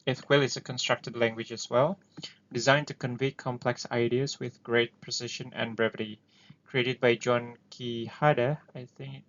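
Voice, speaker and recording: A man's voice: mid-range voice, a bit bright. A man with an Indonesian accent who speaks English as a second language. He talks through ideas casually and hesitantly, with many fillers, as the host of an explainer. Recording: dull lo-fi recording.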